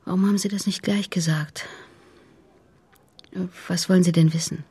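An actress playing a grieving, guarded middle-aged mother in a radio play, very husky, breathy and creaky, speaking haltingly. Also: on the low side, steady tone, dark tone. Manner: halting, hesitant.

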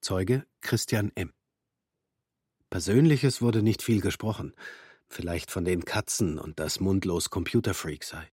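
A man's voice: sits low, a little slow, a little mellow, husky, no room sound, clean studio sound. An actor playing a reserved male witness, soberly reciting testimony in a documentary radio play. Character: male trial witness, reserved and matter-of-fact.

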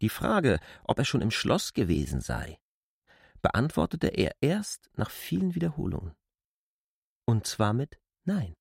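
A man's voice: mid-range voice, wildly swooping pitch, unhurried, gravelly, breathy edge, dry recording. A man reading scripted literary narration for a radio drama in a studio. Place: dry studio.

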